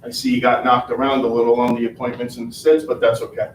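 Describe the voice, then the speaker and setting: Male meeting leader, brisk and casual, roomy room with faint noise. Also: mid-range voice, little pitch movement. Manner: reads rankings out in a brisk list, then chats casually. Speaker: sales team leader. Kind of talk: meeting in front of a group.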